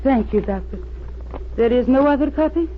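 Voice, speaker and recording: Crafty, high-voiced female spy, tinny recording. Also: lively intonation, moderate pace. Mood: smooth, triumphant. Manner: urgent, persuasive, earnest. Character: crafty, beautiful female spy posing as peace campaigner. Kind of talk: old-time radio acting. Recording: tinny, noisy old radio recording.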